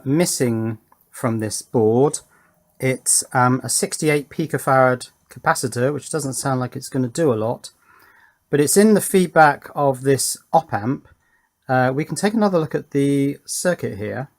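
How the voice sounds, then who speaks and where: A man giving a casual hands-on electronics demonstration, thinking aloud and talking to himself as he works. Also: medium pitch. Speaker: electronics hobbyist presenter.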